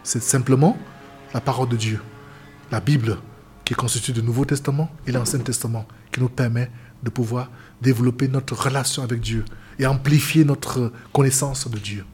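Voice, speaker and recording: A man's voice: medium pitch, fairly even intonation, normal speed, darkish tone, soft background music. A man from Cameroon, a seamen's chaplain and pastor, speaking earnestly as an interview guest and often restarting his phrases. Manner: earnest, repetitive, stumbles and restarts.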